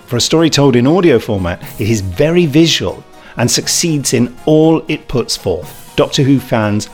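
Jokey podcast man, medium pitch. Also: animated, normal speed, soft background music. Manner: jokey, giggly, stumbles while reading. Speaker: podcast host. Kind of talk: casual chat and reading out reviews.

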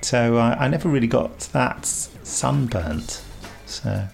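A man's voice: mid-range voice, lively intonation, a little slow, creaky, faint music behind. A middle-aged English man hosting a podcast in casual, jokey chat, with a playful, mock-dramatic manner. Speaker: podcast host.

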